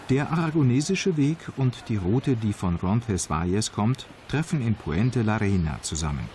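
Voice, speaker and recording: A man reading scripted travel documentary narration in a calm, measured, informative way. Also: lowish voice, a little hiss or hum. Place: voice-over studio. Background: background music.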